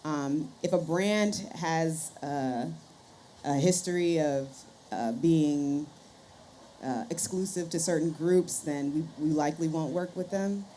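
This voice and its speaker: A woman's voice: deep voice, takes it slowly, mellow, slight background noise. A woman podcast host talking conversationally as a guest on a panel.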